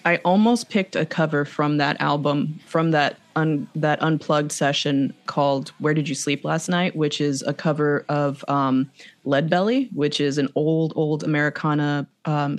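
A woman's voice: sits low, steady tone, a little slow. A woman who grew up speaking Spanish, chatting casually and gushing with enthusiasm about songs she loves. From Mexico. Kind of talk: casual chat.

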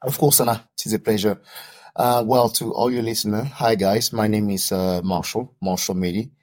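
A man's voice: fairly low pitch, fairly even intonation, moderate pace, a little mellow. A man from Cameroon who is a podcast guest, giving long explanatory answers in an interview. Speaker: podcast guest, founder and CEO.

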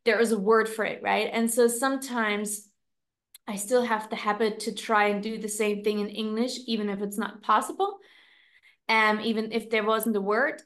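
A woman with a German accent, a non-native English speaker, co-hosting a podcast in a casual, reflective chat, often pausing and restarting as she searches for words. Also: medium pitch. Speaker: podcast co-host.

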